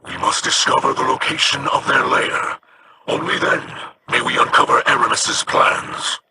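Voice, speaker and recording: A man voicing a grave, weary alien pirate captain in a video game, with a gravelly, formal delivery. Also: mid-range voice, normal speed, close to an everyday voice. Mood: solemn. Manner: solemn, formal. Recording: noisy game audio.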